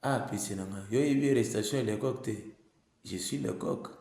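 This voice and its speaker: A stubborn cartoon rooster acted out by a man grumbles and argues in a low, heavily creaking voice. He speaks with a Congolese accent and talks emphatically, like a cranky man. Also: moderate pace, darkish tone. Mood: indignant. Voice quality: gravelly, creaky. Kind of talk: Congolese comedy cartoon dialogue.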